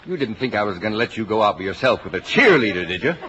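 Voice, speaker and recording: Stern indignant patriarch, low animated voice, dry sarcasm, vintage radio play, muffled noisy recording. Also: male voice, quick pace. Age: middle-aged. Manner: pompous, indignant, dryly sarcastic. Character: fussy, exasperated father, a stern efficiency-minded patriarch. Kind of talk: old-time radio drama acting.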